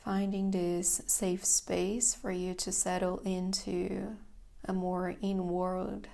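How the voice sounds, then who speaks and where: A woman leading a guided meditation as teacher, calm, gentle and unhurried, with a soft, sometimes breathy voice. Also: medium pitch. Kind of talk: guided meditation, slow and soothing.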